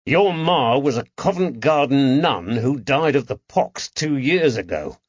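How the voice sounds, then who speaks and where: A man voicing a gruff, furious police constable who accuses and interrogates in an audiobook. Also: mid-range voice, lively intonation, unhurried, no echo, highs cut off, voice pushed up. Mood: furious, accusing. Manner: stern, accusing, barking. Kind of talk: audiobook character acting.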